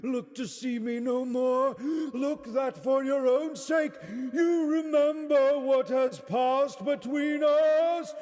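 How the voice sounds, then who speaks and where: A man voicing a solemn male ghost in a storybook radio play, proclaiming warnings slowly and portentously. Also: steady tone, darkish tone, long reverb tail, soft background music. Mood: ominous warning. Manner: slow, booming, portentous proclamation.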